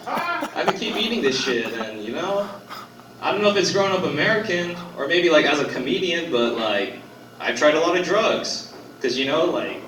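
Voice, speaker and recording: A man's voice: on the high side, noisy background. Rambling young man doing stand-up, far off. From Korea. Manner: loose, rambling, heavy on fillers and swearing. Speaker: stand-up comedian. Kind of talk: first-time stand-up set. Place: comedy club stage. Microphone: phone recording from the audience. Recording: roomy, distant phone recording with crowd. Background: audience laughter.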